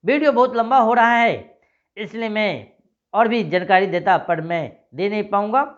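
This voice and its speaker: A man promoting a job scheme, pitching straight to viewers and answering their imagined questions with repetition. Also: moderate pace, a bit bright, muffled recording.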